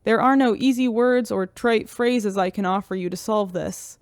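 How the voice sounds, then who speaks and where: A woman's voice: mid-range voice, moderate pace, darkish tone, no echo, studio quality, natural voice. A woman playing a tender, grieving exozoologist, speaking earnestly in a sci-fi audio drama.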